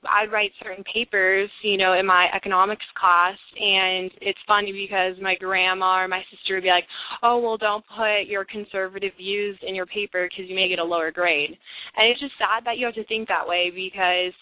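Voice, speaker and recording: A woman's voice: medium pitch, steady tone, moderate pace, no echo. Earnest young woman on a phone line. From California. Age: young adult. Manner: earnest, chatty, with many fillers. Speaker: guest. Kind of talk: casual phone interview.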